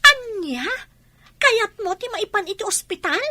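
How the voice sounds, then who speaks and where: A doubtful young sidekick in a radio drama. She has an extremely high, sharp voice and an Ilocano Filipino accent, forever questioning and exasperated. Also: big pitch swings, unhurried, no echo, exaggerated voice. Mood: incredulous. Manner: questioning, incredulous. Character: exasperated, doubtful young female friend of a schemer.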